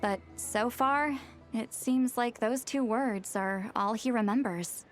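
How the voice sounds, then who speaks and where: An actress playing a calm, scholarly young woman in a video game, explaining in a measured, articulate way. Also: fairly high pitch, soft background music. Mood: composed, explaining. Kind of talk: video game dialogue, expository.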